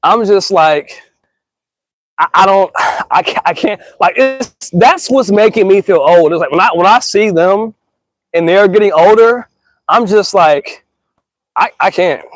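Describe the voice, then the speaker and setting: A man's voice: high-pitched, a little slow, no room sound. A man in his thirties musing in a casual, slangy monologue, earnest and rambling with restarts, calling listeners y'all and bro. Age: 30s. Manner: rambling with frequent restarts and stammers.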